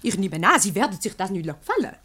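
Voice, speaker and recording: A woman's voice: medium pitch, very animated, racing, a bit bright, breathy edge. A woman playing a meddling switchboard operator in a Swiss German radio comedy, speaking in a pointed, officious, roundabout way. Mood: indignant, pointed. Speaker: radio play actor.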